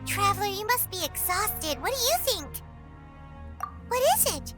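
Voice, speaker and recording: A tiny, squeaky childlike fairy companion. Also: female voice, sing-song, unhurried, pushed and bright, soft background music, full character voice. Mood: curious, supportive. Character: small floating fairy girl companion, childlike and earnest. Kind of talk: video game voice acting.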